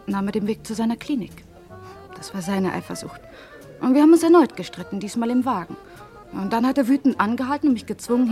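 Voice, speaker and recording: Brief: actress as a bereaved mistress from the nightlife, medium pitch, dramatic, halting, radio crime play. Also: female voice, darkish tone, lightly acted. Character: emotional female Paris nightlife performer, murdered doctor's lover.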